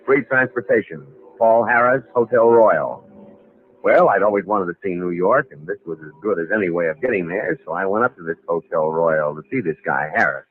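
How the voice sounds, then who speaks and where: An actor with an American accent playing a wry, slangy young drifter in a vintage radio drama, both narrating and speaking in scenes. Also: male voice, low-pitched, lively intonation, moderate pace. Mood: wry, confiding. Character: streetwise, down-on-his-luck young drifter telling his story. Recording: tinny, noisy old radio broadcast recording.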